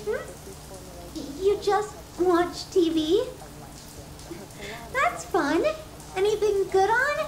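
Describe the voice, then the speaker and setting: A woman's voice: extremely high pitch, big pitch swings, slow pace, pauses often, exaggerated voice, rough recording. A woman playing a possessive, sadistic yandere girlfriend in an ASMR roleplay, doting and cutesy with theatrical affection. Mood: casual, sweet. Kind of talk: ASMR roleplay, scripted monologue to the listener.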